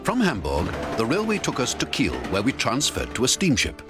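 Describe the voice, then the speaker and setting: Expressive male storyteller, highly animated pitch. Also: brisk, music in the background. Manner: expressive, theatrical. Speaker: narrator. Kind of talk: dramatic scripted story narration.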